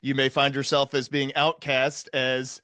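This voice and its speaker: Male podcast host, mid pitch, earnest and emphatic, deliberate delivery, very dry recording. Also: flat delivery, pushed and bright, dry recording. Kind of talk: earnest conversational podcast talk.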